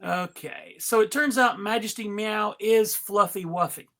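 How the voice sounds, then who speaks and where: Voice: medium pitch, measured pace, pushed and bright. A host narrating a casual live script reading and chatting with a wry, joking manner. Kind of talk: casual live table read with chat.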